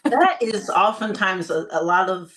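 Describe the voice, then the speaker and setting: A woman's voice: medium pitch, expressive, a little slow, a bit bright, a little hiss or hum. An American woman hosting a casual interview, speaking in an informal, encouraging way. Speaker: interview host. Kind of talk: casual podcast chat.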